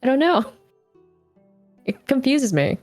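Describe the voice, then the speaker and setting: A woman's voice: high-pitched, very animated, slow, darkish tone, no room sound, soft background music. Japanese-accented woman chatting hesitantly. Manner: hesitant, searching for words. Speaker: guest. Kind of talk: casual chat.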